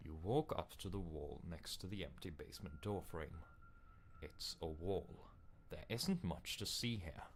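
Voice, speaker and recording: Man playing a stern, deep-voiced game narrator. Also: slight background noise. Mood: insistent. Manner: measured, authoritative, exasperated when challenged. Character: stern, controlling male storybook narrator, impatient and insistent. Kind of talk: scripted second-person game narration.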